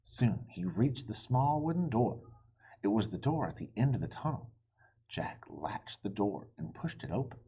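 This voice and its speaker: Husky man reading a children's story dramatically. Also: fairly low pitch, very animated, moderate pace, dark tone, muffled recording. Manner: dramatic, suspenseful. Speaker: storybook reader. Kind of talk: expressive children's read-aloud narration.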